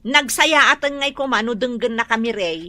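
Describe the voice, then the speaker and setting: A nagging practical wife, ilocano accent, high sharp voice, radio comedy drama. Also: female voice, normal speed. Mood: scolding. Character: scolding, practical wife of a drinker, mother.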